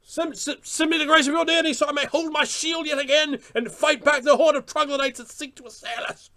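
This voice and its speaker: A man's voice: extremely high pitch, steady tone, a little fast, sharp tone, pitched above the performer's own voice. A man playing a wounded heroic fantasy warrior who pleads for aid in lofty, melodramatic, archaic phrasing. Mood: desperate, melodramatic.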